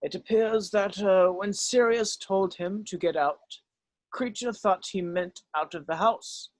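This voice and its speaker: Man playing a grave old wizard headmaster, high-pitched. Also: no room sound. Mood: solemn, explaining. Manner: measured, solemn, explanatory. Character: grave, weary old male wizard headmaster, gently remorseful. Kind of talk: dramatic script read-through.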